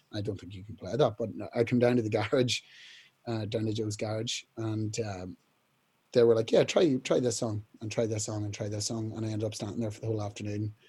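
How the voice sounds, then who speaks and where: Young male rock singer, mellow husky speaking voice, casual, rambling. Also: lowish voice. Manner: rambling, many fillers and restarts, clears throat often. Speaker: band singer, interview guest. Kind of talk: casual storytelling interview.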